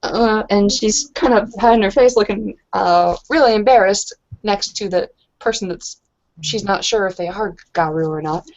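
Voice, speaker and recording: A woman's voice: medium pitch, normal speed, darkish tone, lo-fi sound. A woman improvising third-person narration for a tabletop roleplaying game.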